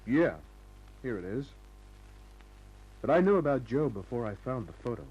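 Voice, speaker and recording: An actor playing a hard-boiled, cynical private detective in a 1950s radio crime drama, tough and clipped in delivery. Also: male voice, medium pitch, very animated, moderate pace, a little mellow, slightly rough, poor audio. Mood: tough, pressing.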